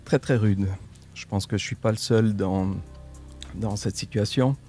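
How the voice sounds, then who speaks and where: A man speaks as a radio guest, slightly low and warm in tone. He hesitates, restarts and repeats words, letting phrases trail off softly. Quiet music sits faintly underneath. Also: steady tone, unhurried. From Switzerland. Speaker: actor, studio guest. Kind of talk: radio interview.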